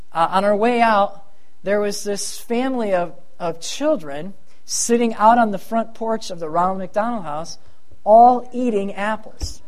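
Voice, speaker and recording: A middle-aged man preaches with a thin, light voice. He talks to his congregation in a chatty, self-deprecating way, wandering into asides before returning to the point. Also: high-pitched. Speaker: pastor. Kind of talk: sermon.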